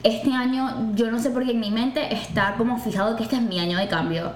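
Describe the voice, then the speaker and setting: A young woman with a Venezuelan accent, co-hosting a casual podcast chat, animated and emphatic. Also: fairly high pitch, brisk. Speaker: podcast co-host. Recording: echoey room with faint noise.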